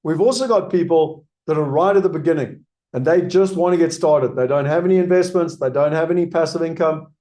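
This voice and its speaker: A man's voice: fairly high pitch, brisk, hardly any pauses, warm tone. A man with a South African accent hosting a live webinar, answering audience questions in an emphatic way that repeats key points. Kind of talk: live webinar Q&A sales pitch.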